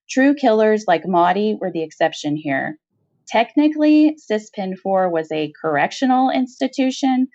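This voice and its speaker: A woman reading a short story aloud as expressive scripted narration. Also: on the low side, creaky. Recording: dull, roomy home recording.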